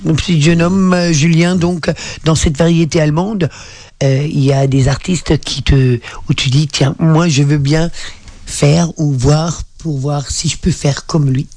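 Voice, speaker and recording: A man's voice: a little high, steady tone, unhurried, darkish tone, no echo. A man hosting a radio interview, asking long, rambling questions full of fillers. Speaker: radio host.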